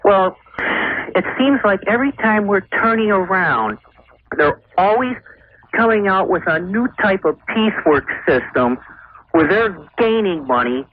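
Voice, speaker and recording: A man's voice: high voice, expressive, a little slow. An American man calling in to a radio show, speaking conversationally. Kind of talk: conversational complaint. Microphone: telephone. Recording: thin telephone line.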